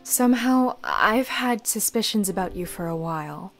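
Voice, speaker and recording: A woman plays a young female necromancer from a visual novel. She is earnest, plain-spoken and measured, with a tough but considerate temper. Soft music sits behind her. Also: medium pitch, lively intonation, close to an everyday voice. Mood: reassuring. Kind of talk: visual novel dialogue read aloud.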